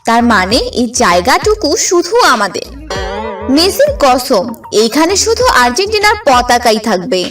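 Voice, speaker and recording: A woman's voice: a little high, big pitch swings, normal speed, distant mic, music in the background. A woman voicing a feisty, combative young female football fan in a comic cartoon dub. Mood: defiant. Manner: combative, sharp-tongued.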